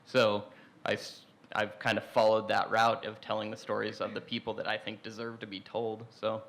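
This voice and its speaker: A young man on a discussion panel, talking in a relaxed, rambling way with many restarts. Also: lowish voice, normal speed, creaky.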